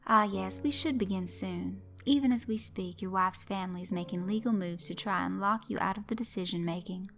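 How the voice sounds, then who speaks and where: A woman's voice: medium pitch, a little fast, gravelly, hardly any pauses, a bit bright. A woman doing a courtly, sinister games master. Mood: ominous polite. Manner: precise, courtly, ominously polite. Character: smooth, formal, menacing male master of games.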